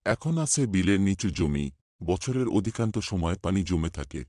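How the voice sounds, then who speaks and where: A man's voice: deep voice, lively intonation, a little slow, darkish tone, no room sound, studio quality. A man playing a remorseful older moneylender in a cartoon, pleading and confessing. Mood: sorrowful. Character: remorseful, humbled older male moneylender landlord. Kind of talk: cartoon voice acting.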